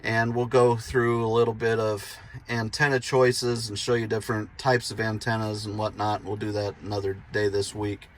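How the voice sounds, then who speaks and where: A man's voice: lowish voice, completely flat delivery, normal speed, hardly any pauses. A man hosting a do-it-yourself vlog, explaining his work casually in an informal, folksy, self-deprecating way. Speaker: DIY vlog host. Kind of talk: casual hands-on how-to narration.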